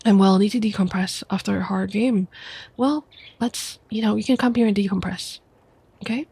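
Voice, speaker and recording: A young woman with a stuffy nose from a cold, reading a book aloud softly as an ASMR streamer into a close microphone. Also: medium pitch, vocal fry, breathy edge. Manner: soft, quiet, unhurried reading voice. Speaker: VTuber streamer.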